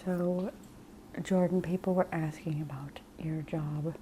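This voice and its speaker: A woman hosting an ASMR chat in a soft half-whisper, sounding stuffy from a cold. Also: deep voice, steady tone, unhurried, slight background noise. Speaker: ASMR channel host.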